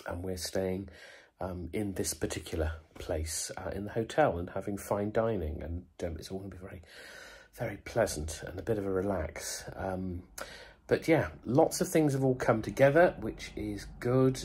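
A man with a cold, talking quietly in a hotel room in a casual vlog update, with many ums and hesitations. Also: sits low, normal speed, breathy edge. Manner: speaks softly, hushed, many ums. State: bunged up with a cold. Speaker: vlogger.

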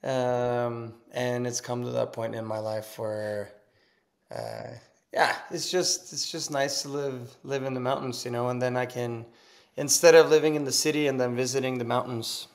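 A man in his thirties with a Norwegian accent, chatting casually as a podcast guest over a video call from his kitchen, relaxed and laughing easily. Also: medium pitch, normal speed. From Norway. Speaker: guest, pro snowboarder. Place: home kitchen, indoors.

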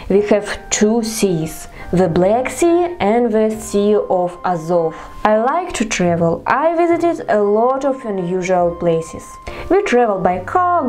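A young woman from Russia with a Russian accent, a native Russian speaker narrating her vlog in careful, deliberate English. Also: mid-range voice, darkish tone. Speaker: vlogger. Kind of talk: scripted narration to camera.

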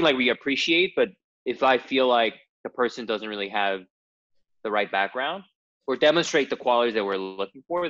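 Thirty-year-old man, lowish voice, hesitant with fillers, muffled dry recording. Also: fairly bright tone, no room sound. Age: 30s. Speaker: startup co-founder. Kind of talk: casual interview answers.

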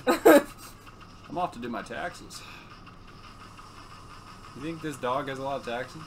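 A woman's voice: a bass voice, expressive, slow, darkish tone, a little hiss or hum. A woman co-hosting a casual let's play, commenting with crude, profane, jokey banter. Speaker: let's play co-host commenting while partner plays. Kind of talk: casual joking gameplay commentary. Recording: game music behind the voice. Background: video game music.

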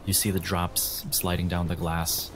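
A man working as a game master, giving atmospheric narration for a tabletop game over soft guitar music. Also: deep voice, monotone, a little slow, slight background noise.

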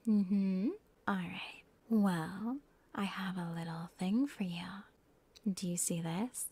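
A woman playing a dominant, coddling mommy figure in a soft, whispery ASMR roleplay. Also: on the low side, fairly even intonation, slow pace, breathy edge, no echo. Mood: teasing, dominant. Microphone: close, dry microphone.

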